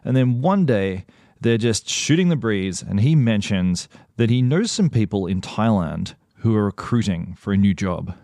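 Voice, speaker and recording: Low-voiced man narrating a podcast. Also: animated, moderate pace, dark tone, dry recording. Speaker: podcast host. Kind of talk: scripted narration and interview.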